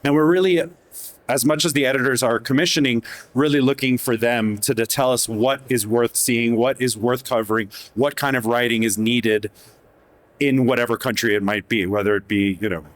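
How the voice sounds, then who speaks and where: A man's voice: mid-range voice, fairly even intonation, moderate pace, no echo. A man who grew up in Mexico, speaking as a magazine editor on a panel, thoughtful and hedging, with many restarts. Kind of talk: panel discussion. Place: event hall panel.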